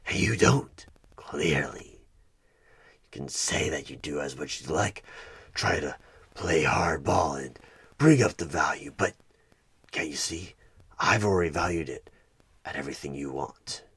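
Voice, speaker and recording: A man playing a sinister, coaxing evil recruiter who bargains for souls in a creepy ASMR horror roleplay, husky and half-whispered, with deliberate dramatic pauses. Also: rumbling low, fairly bright tone, exaggerated voice. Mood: coaxing menace. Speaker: audio roleplay performer.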